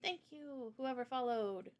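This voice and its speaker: A woman streamer hosting a casual live chat, talking in an animated, opinionated way with rambling asides. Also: on the high side, slow. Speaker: streamer and host.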